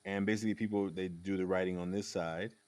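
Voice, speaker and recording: A man hosting a casual conversation, telling stories in a rambling, animated way with frequent restarts. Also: low voice, fast, smooth. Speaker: podcast host.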